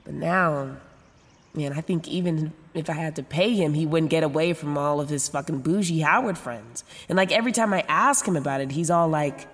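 A woman's voice: low-pitched, normal speed. Messy, frank young woman, reverberant sound. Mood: wistful, casual. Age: young adult. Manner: rambling, self-interrupting, sarcastic, casual slangy talk. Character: messy, frank young woman, newly sober, foul-mouthed. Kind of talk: confessional first-person audio drama narration.

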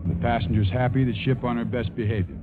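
An actor playing a genial, self-assured airline pilot in a 1950s radio drama. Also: male voice, mid-range voice, fast, background noise, lightly acted. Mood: matter-of-fact narrating. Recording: muffled vintage radio broadcast, music behind.

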